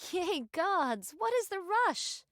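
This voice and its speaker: A woman's voice: very high-pitched, big pitch swings, slow pace, dry recording, studio quality, full character voice. An actress playing a wry, refined female warrior with archaic, formal phrasing in an anime game. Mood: amused. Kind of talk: scripted anime game voice acting.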